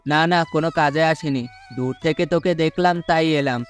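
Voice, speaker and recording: A man's voice: a little high, fairly quick, lightly acted. A man playing a sly, well-off village farmer in a cartoon folk tale, persuasive and condescending as he feigns kindness. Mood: coaxing. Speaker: cartoon voice actor. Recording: music under the voice.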